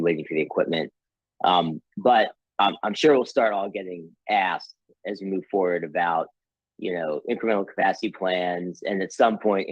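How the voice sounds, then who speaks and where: Deep husky male analyst, dull line. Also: no room sound. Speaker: financial analyst. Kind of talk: casual earnings call questioning.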